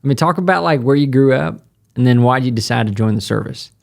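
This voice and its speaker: A man's voice: mid-range voice, fast talker, creaky. A man hosting a podcast interview in a laid-back, jokey way. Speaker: podcast host. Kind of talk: casual interview chat.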